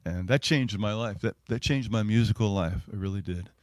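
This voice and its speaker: A middle-aged or older man, a musician, chatting casually to an online audience in a halting, rambling, reminiscing way. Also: on the low side, darkish tone, no room sound. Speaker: singer and musician hosting a livestreamed set. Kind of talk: casual between-song chat to an online audience.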